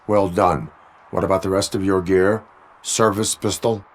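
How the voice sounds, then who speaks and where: An actor playing a stern, by-the-book cyborg police officer in a video game, speaking in a formal, measured, deadpan way. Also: male voice, low voice, close to an everyday voice. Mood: stern, instructive. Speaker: police field training officer.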